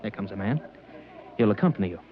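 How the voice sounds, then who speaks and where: An actor playing a stern, dogmatic customs agent with a curt, preachy manner, in 1950s radio drama style. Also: male voice, fairly low pitch, lively intonation, fast talker, very noisy, lightly acted. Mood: dutiful. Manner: curt, matter-of-fact, preachy. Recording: dry, dull old radio recording.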